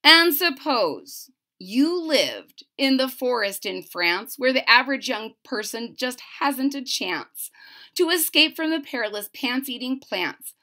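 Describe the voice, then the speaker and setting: A woman with a high, bright voice reads a children's story aloud. She takes her time, leaning into the rhymes with emphatic, sing-song phrasing. Her pitch swings widely as she moves between the text and her own chatty asides. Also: a little slow. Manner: animated, emphatic, sing-song rhyming delivery. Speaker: storyteller reading a picture book aloud. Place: small furnished room at home.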